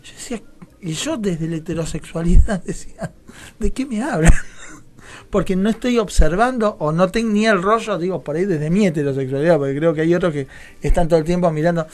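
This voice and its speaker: A man with a fairly high voice and a Rioplatense Argentine accent thinks aloud in a conversational interview. He explains things in a discursive, looping way, often putting questions to the listener. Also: brisk, a little mellow. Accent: Rioplatense Argentine Spanish. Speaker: guest playwright.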